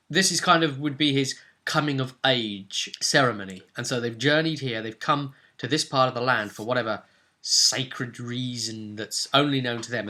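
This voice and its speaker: A man with a bright, forward voice talks as a game master recapping a session. He is chatty and rambling, often tagging questions onto his sentences to get agreement. His pitch sits in a middle male range. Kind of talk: casual recap of a tabletop role-play session.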